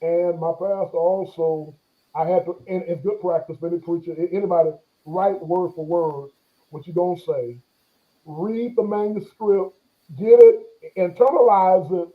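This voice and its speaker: A man's voice: high voice, steady tone, moderate pace. A pastor in his forties, a guest in an interview, talking in a reflective, hesitant way with many fillers and restarts, and an occasional stammer. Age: 40s. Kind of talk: conversational interview. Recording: tinny phone-line sound.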